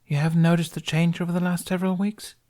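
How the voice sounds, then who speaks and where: Guy doing a mysterious dream lady, feathery warm voice, calm and gently amused, audiobook story. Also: a little high, fast talker, voice pushed up, close to an everyday voice. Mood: calm, knowing.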